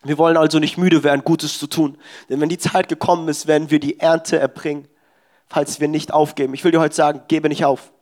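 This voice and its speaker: A man's voice: fairly high pitch, flat delivery, fairly quick, darkish tone. A young man preaching a sermon in a church service, exhorting the congregation with emphatic, personal testimony.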